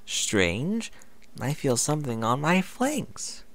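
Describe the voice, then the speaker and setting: A puzzled young mare performed by a man in a read-aloud fan story. She is bashful and questioning, with a raised, lively pitch. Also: slow pace, full character voice. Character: bashful, puzzled female pony narrating her own story.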